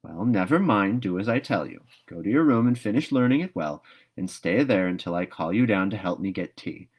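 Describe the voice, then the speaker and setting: A stern older woman from a storybook, read by a man in a low voice. The delivery is dry, brisk and practical, with steady disapproval and no patience for fancy. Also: slightly rough. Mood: stern, impatient.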